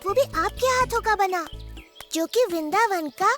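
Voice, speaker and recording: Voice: extremely high pitch, animated, unhurried, pushed and bright, soft background music, full character voice. A performer playing a sweet, mischievous little boy in a children's cartoon, innocent and playful. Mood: coaxing, cheeky.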